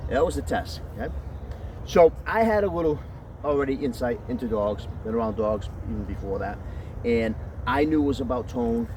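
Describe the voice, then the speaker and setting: A deep-voiced man talks through dog training in a loose, chatty manner, often pausing to ask "okay?". He sounds set back from the microphone, with traffic and outdoor noise behind him. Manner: conversational, often checks in with "okay?", jumps to a high praise voice. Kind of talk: casual outdoor training talk. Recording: distant mic with outdoor street noise.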